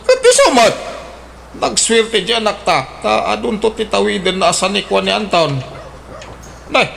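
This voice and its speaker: An actor in an Ilocano radio drama playing a chatty, scheming old father whose voice leaps up when he gets excited. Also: moderate pace, gravelly, a little hiss or hum, heavily acted. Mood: fussing. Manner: excitable, jumps high in pitch.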